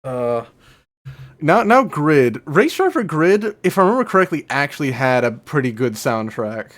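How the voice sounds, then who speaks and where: A man's voice: fairly high pitch, very animated, normal speed, fairly bright tone. A man hosting a live stream, chatting casually with frequent restarts and offhand swearing. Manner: stammering restarts, laid-back, swears casually. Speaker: streamer host.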